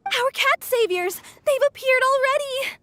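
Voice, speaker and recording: A woman's voice: very high voice, animated, unhurried, fairly bright tone, slight background noise, heavily acted. A woman voicing an earnest, anxious young woman who rescues animals, speaking politely and eagerly as a game character. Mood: delighted. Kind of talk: English game dub voice acting.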